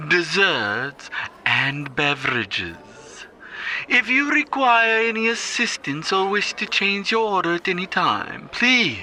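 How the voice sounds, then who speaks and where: A man playing a formal, courteous restaurant announcer, reading a scripted service message in a measured way over faint noise. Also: on the high side, sharp tone, exaggerated voice.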